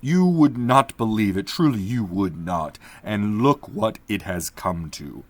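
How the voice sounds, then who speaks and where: A man's voice: on the low side, lively intonation, a little slow, dry recording. A man voicing a desperate, ragged old magician locked in a cell, speaking in a pleading, brooding way.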